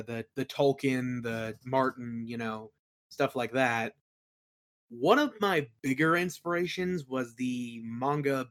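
A man chatting informally as an interview guest, explaining his creative work in a halting, think-aloud way. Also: mid-range voice, fairly bright tone. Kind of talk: casual chat, explaining own creative work.

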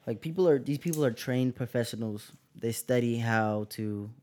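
Soft-voiced young man hosting a podcast. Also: medium pitch, moderate pace. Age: young adult. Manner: relaxed, sleepy. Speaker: podcast host. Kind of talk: casual chat.

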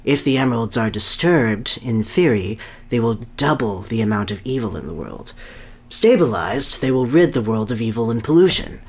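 Earnest scientist doctor, acted by a podcast reader, boastful, expository, lively pitch swings, storybook reading. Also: moderate pace, natural voice. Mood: earnest, grave. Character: earnest scientist doctor explaining his grand scheme.

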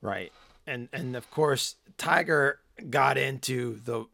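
A man in casual chat, rambling with lots of fillers and swearing, hoarse as he loses his voice. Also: mid-range voice, slow pace, bright, forceful tone, no room sound, studio quality. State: losing his voice. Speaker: podcast co-host.

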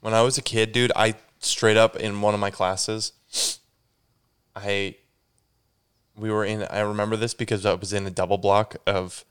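A young man chats alone into his podcast mic, congested and a little stuffy. His voice sits low and comes through exceptionally clear. He rambles loosely and keeps backtracking mid-sentence. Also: level tone, moderate pace, fairly bright tone. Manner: rambling, self-correcting, says "dude" a lot. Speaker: podcast host. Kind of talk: casual solo podcast chat.

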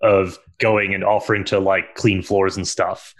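A man's voice: sits low, little pitch movement, a little slow. A man co-hosting an interview over a video call, asking long, searching questions with fillers. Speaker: co-host, managing editor.

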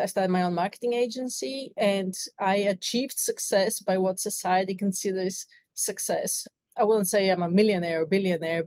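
A woman's voice: medium pitch, a little mellow, no echo. A middle-aged woman from Bulgaria with a Bulgarian accent, telling her story earnestly and reflectively as a podcast guest. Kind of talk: interview conversation.

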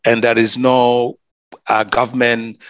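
A man speaks in an earnest, steady way, often doubling back on his words as he thinks. His voice sits in a middle pitch and sounds dry and close, with no room echo. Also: takes it slowly, smooth, muffled recording. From South Sudan. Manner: earnest, frequent restarts and repeated words. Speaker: public health officer and community advocate. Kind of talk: interview answers.

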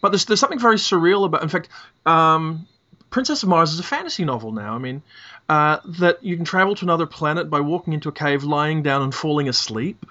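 A man hosting a podcast over an internet call, chatting casually about books in a halting way, often repeating and rephrasing himself. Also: fairly high pitch, sharp tone, muffled recording. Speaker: podcast host. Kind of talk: casual literary discussion.

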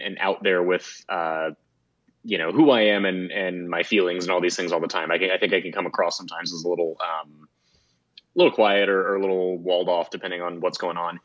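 A man with a deep voice, a guest in a casual interview, speaking in a measured, reflective and frank way. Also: fairly bright tone, no room sound, highs cut off. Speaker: guest, motorsport site founder.

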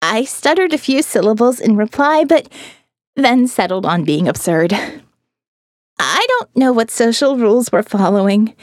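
A woman narrating an audio novel in the first person, dramatic, expressive and wry. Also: on the high side, a little slow, no echo, studio quality.

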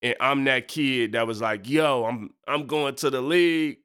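A man's voice: mid-range voice, unhurried, a bit bright, smooth. A young man, stuffed up from a cold, hosting a casual faith podcast in loose, slangy talk full of fillers and asides. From Michigan. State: congested. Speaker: podcast host and preacher.

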